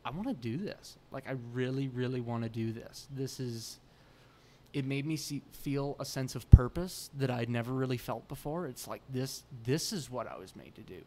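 A young adult man, a musician guest in a podcast interview, talking earnestly in animated bursts with many restarts, at a table mic in a small studio room. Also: medium pitch, moderate pace, a little hiss or hum. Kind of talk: casual interview chat. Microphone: boom mic on the table.

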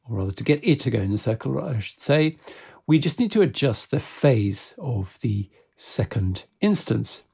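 A man giving an unscripted software tutorial, methodically explaining each step as he works, in a gravelly, husky voice. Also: mid-range voice.

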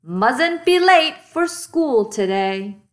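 A woman's voice: high-pitched, lively intonation, extremely slow, pitched above the performer's own voice, heavily acted. A woman voicing a friendly, proud female school bus in a children's storybook, kindly and a little boastful.

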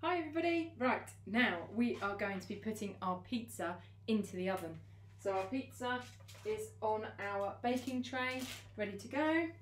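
A woman's voice: mid-range voice, lively intonation, normal speed. A young woman teacher demonstrating a recipe for children at home. Her delivery is patient and encouraging, breaking each step down simply. She is heard in a domestic kitchen on the camera's own mic. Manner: gentle, encouraging, step-by-step explaining.

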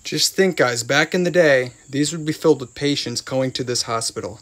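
Excited man exploring abandoned places. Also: medium pitch, normal speed. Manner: excited, keeps addressing viewers as "guys". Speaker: urban explorer vlogger. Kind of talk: walkthrough narration while exploring. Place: abandoned buildings and old vehicles, indoors and out.

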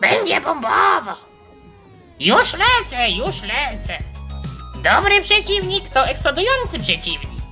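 A man voicing a small, bomb-happy game critter in a squeaky, excitable way. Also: slow, music in the background, full character voice. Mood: manic glee. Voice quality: high, squeaky. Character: manic little bomb-happy critter demolitionist.

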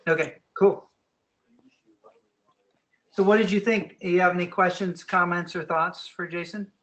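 A man's voice: sits high, steady tone, measured pace, a bit bright, low-quality recording. A man moderating a conference call, who hands the floor to guests and puts questions to the speaker, agreeing often with short replies. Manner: encouraging, with frequent short affirmations.

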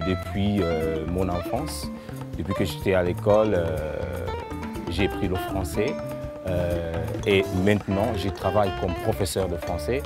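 Tanzanian man in his thirties, low voice, slow halting answers over loud guitar. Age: 30s. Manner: hesitant, many fillers. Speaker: French teacher. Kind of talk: interview answers. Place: outdoors in a courtyard. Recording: loud guitar music behind.

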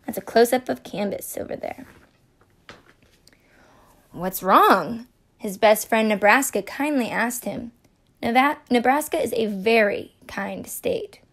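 A woman reading a children's picture book aloud as storyteller, in a playful, expressive, deliberate way. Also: fairly high pitch. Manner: playful, deliberate, acting out asides.

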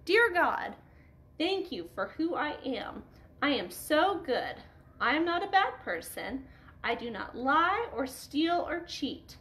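A woman reading the part of a proud, self-righteous male church leader in a storybook, declaiming boastfully in a measured way. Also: high-pitched, sing-song, a bit bright, heavily acted. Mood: smug.

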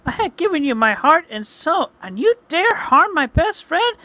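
Casting: proud warrior woman, put on by a storyteller, high, forceful, commanding, for a fantasy tale. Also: sing-song, normal speed, slight background noise, heavily acted. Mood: hurt, outraged.

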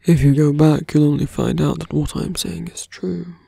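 A man playing a seductive, brooding fantasy villain in an intimate ASMR roleplay, coaxing softly in a breathy, husky whisper with lingering pauses. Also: fairly high pitch, dry recording, full character voice.

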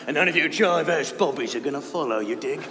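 Man playing gruff blustering villain, noisy echoey hall. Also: fairly high pitch, fast talker, fairly bright tone. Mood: blustering threat. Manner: blustering, menacing, mock-friendly. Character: gruff villainous impostor posing as veteran auror professor. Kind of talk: live staged reading of comedy musical.

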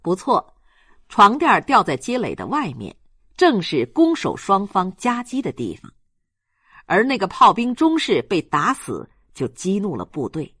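A woman's voice: medium pitch, wildly swooping pitch, measured pace, a bit bright, husky, no room sound, studio quality. A woman reading a novel aloud as an audiobook narrator in a scripted storytelling style.

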